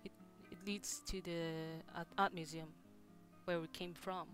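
A young woman streams a game with a bright, clear-cut voice over soft game music. She is not a native English speaker and talks slowly, in short halting phrases, reading dialogue aloud and adding casual commentary. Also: on the low side, level tone. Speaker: live streamer.

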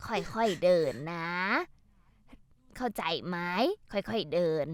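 A woman's voice: lowish voice, slow pace, darkish tone, dry recording. An actress playing an older mother-in-law who grumbles sarcastically in a dubbed drama. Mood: impatient coaxing.

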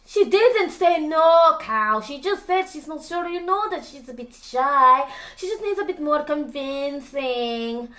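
Woman as puppet unicorn, sensible, patient, firm, reassuring, high animated voice. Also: measured pace, hardly any pauses, exaggerated voice. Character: sensible, patient unicorn friend calming others.

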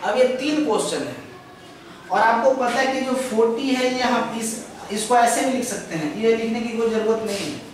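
Teacher in an echoey classroom. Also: male voice, very high-pitched, recorded from a distance. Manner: explains step by step, drawing out example sentences slowly; mixes English phrases into Hindi explanations. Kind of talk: classroom grammar lecture at a chalkboard. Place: classroom with a chalkboard. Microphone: far camera. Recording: echoey room recorded from afar.

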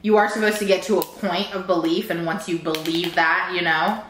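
A young woman chatting over a meal with her friend, emphatic and quick to laugh. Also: lowish voice, steady tone, a bit bright, roomy sound, a little hiss or hum, rough recording. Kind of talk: casual chat while eating. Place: bright room indoors at a table.

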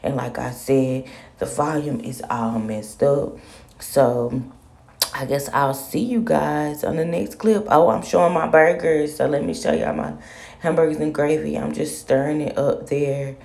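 A woman chats her way through a home recipe, easygoing and talkative. Her voice sits low and dark, with a gravelly fry running under it. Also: a little slow. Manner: relaxed, chatty, talks viewers through steps. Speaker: home cooking vlogger. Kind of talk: casual cooking vlog, voice-over narration. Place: home kitchen.

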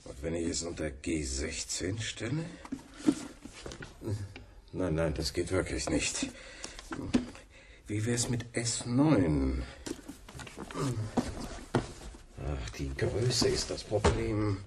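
A man's voice: sits low, expressive, takes it slowly, long pauses, very noisy. An actor playing a fussy, reluctant academic man in a radio play, muttering and pedantic. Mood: absorbed muttering.

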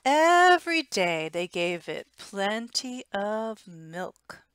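A woman's voice: mid-range voice, sing-song, very slow, fairly bright tone, clear, resonant tone, no room sound. A woman in her thirties reading aloud expressively for young children, with dramatic emphasis and asides to listeners, on a headset mic indoors. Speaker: storytime reader. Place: small indoor room at home. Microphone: headset boom mic.

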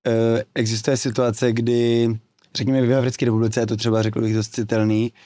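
Mellow, monotone male panelist. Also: medium pitch, dry recording. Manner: draws on personal experience, hedging. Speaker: guest. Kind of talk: panel discussion. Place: small studio with wooden table. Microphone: desk mic on boom arm.

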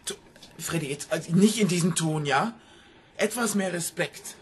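A woman's voice: fairly low pitch, moderate pace, a little mellow, slight background noise, close to an everyday voice. An actress as a worried, insistent mother. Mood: reproachful. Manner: hesitant, repeating herself, insistent. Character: worried mother searching for her missing banker partner. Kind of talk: German crime radio drama acting.

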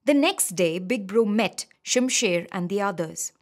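A woman narrating a scripted children's story in an expressive, clearly enunciated storytelling manner. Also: medium pitch, measured pace, music in the background.

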